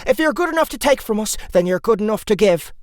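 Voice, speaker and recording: A man voicing a stern, practical mother in a fantasy audiobook, with a brisk, snappy, folksy manner. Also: high-pitched, expressive, no room sound, clean studio sound, pitched above the performer's own voice. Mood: snapping, indignant.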